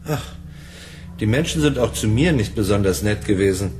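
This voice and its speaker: A man's voice: fairly low pitch, animated, normal speed, a little mellow, slight background noise, lightly acted. A man acting a naive, kindly, timid loner in a radio drama, earnest and hesitant. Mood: earnest, gentle.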